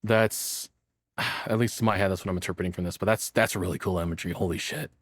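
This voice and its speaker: A man's voice: sits low, fairly even intonation, fast talker, slight background noise. A man giving casual, enthusiastic reaction commentary as a streamer, swearing freely in an informal way.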